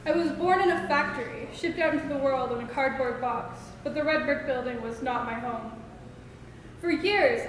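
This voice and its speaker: High-voiced young woman, echoey slam poetry. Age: teens. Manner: dramatic, deliberate phrasing. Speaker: student poet. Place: school open mic performance in a reverberant room. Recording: echoey hall with faint noise.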